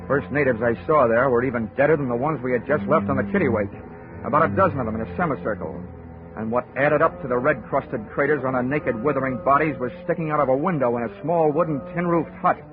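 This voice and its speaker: A man's voice: medium pitch, quick pace, lightly acted. An actor playing a wisecracking male insurance investigator who narrates his own case in a dry, hardboiled tone, in 1940s radio acting. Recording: tinny 1940s radio broadcast with music.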